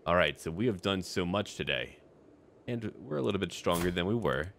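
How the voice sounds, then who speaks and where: A man's voice: low voice, expressive, a little fast, vocal fry, a bit bright, a little hiss or hum. A man giving casual commentary on his strategy game playthrough, talking to himself and to the characters in short clipped remarks. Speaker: gaming video creator narrating his own playthrough.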